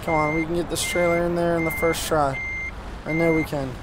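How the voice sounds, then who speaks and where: A man's voice: a little high, flat delivery, a little fast, very noisy. A man giving casual gameplay commentary as a video host, rambling and sweary with an enthusiastic manner and a slight speech impediment. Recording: music playing behind the voice.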